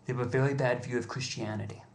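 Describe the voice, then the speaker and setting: An American man making an impassioned personal appeal, speaking earnestly and pleadingly with halting, deliberate pauses. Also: mid-range voice, steady tone, vocal fry. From United States.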